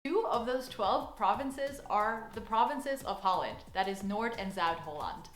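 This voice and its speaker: A woman's voice: mid-range voice, animated, unhurried. A woman giving friendly, earnest advice in a scripted vlog. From United States. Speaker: vlogger. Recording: echoey room with background music.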